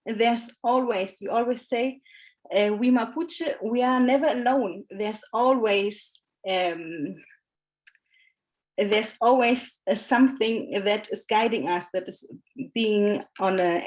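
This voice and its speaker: Woman panelist, slow and searching, high-ish voice, video-call audio. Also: a little mellow, low-quality recording. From Germany. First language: German. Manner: hesitant, thoughtful, many pauses and fillers. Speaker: webinar panelist. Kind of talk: panel discussion answer.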